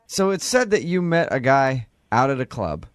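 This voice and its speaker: A man's voice: mid-range voice, sing-song, moderate pace, smooth, no room sound, clean studio sound. A man hosting a radio show, bantering casually in a teasing, wry way.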